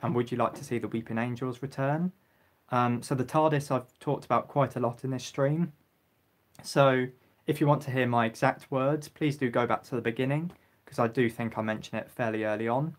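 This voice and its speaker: A young man hosting a casual live stream, reading out viewer comments and answering them with frequent ums, his throat getting sore so that he clears it and coughs now and then. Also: medium pitch, fairly even intonation, moderate pace.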